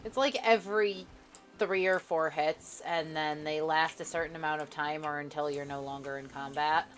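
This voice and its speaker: A woman's voice: sits low, steady tone, normal speed, faint music behind. A woman streaming a co-op game live, bantering with her co-player in a dry, sarcastic way. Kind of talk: casual gameplay chat with a co-player.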